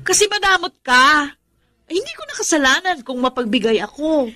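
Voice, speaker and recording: High-pitched, brazen mistress. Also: female voice, big pitch swings, normal speed, pushed and bright, heavily acted. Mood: mocking, smug. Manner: mocking, haughty. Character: brazen, taunting young mistress, the other woman. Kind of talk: Tagalog radio drama acting.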